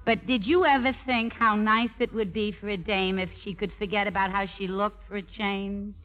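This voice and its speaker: A woman's voice: mid-range voice, fairly even intonation, moderate pace, close to an everyday voice. An actress playing a tough, big-hearted, wisecracking woman sidekick with blunt, slangy delivery in a 1950s radio drama. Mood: blunt, caring. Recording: tinny 1950s radio broadcast.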